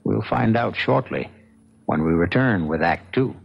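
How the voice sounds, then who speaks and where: A man narrating a 1970s radio mystery in a measured, formal, theatrical, gravelly voice. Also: on the low side, animated, full character voice. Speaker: host and narrator of a mystery drama series. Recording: dull vintage 1970s radio recording.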